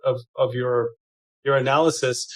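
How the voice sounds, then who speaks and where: A man's voice: mid-range voice, steady tone, moderate pace, a bit bright. A man hosting a podcast, asking questions in an enthusiastic, chatty way through a studio microphone. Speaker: podcast host. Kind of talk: interview questions, casual. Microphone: studio mic through processor.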